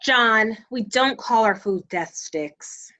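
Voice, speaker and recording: Woman playing a stern, animated, bright-voiced mom. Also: a little high, slow pace, exaggerated voice. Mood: firm. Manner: scolding, emphatic. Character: stern mother of bickering children at the dinner table. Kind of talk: audio drama acting.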